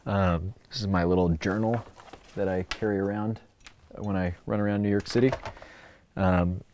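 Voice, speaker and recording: A man's voice: low voice, steady tone, normal speed, a little mellow. A man hosting a casual livestream, chatting in a playful, rambling show-and-tell way. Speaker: livestream host. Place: indoor personal workspace. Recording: clearly noisy room recording with clicks.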